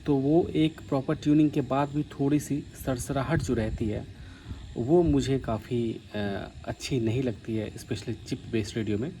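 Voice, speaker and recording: A man from India giving a casual, explanatory hobbyist demonstration, pausing now and then mid-sentence. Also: medium pitch, warm tone. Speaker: vlogger demonstrating a vintage radio. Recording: faint background noise.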